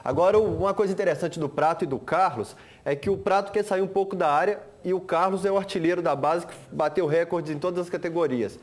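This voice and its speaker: A man gives jokey studio football commentary at a rapid clip. His voice sits a little high, and the tone is casual and playful. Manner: jokey, fast-talking.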